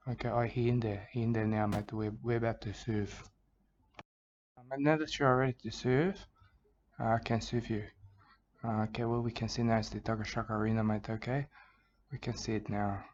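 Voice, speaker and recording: Aussie bushman, hushed flat mumble, low voice, dull lo-fi sound, as a man puts him on. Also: slight background noise, natural voice. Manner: hushed, mumbling, repeats "mate" and "I can tell you". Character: rambling male Aussie bushman, soft-spoken, plotting revenge. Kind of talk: parody soothing wildlife narration. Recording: dull lo-fi recording.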